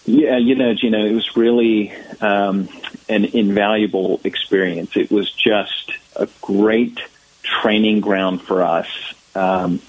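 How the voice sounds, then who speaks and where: A man's voice: lowish voice, fairly even intonation, slow, no room sound, lo-fi sound. An American man in his thirties, a church ministry director answering interview questions thoughtfully, with many fillers and restarts. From United States.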